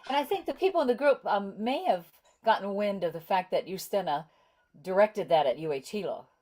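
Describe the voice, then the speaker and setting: A woman's voice: medium pitch, lively intonation, brisk, darkish tone. A woman chatting informally on an online video call. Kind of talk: casual chat. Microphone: video call microphone.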